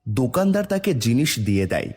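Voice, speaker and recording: A man narrating an animated moral fable as a scripted storyteller. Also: medium pitch, brisk, breathy edge.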